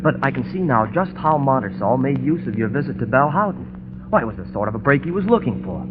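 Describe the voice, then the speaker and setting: A man playing an eager, excitable young man. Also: medium pitch, phone-quality sound, close to an everyday voice. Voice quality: eager, quick, emotional. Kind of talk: 1930s radio drama acting. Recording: scratchy, hissy 1930s radio recording.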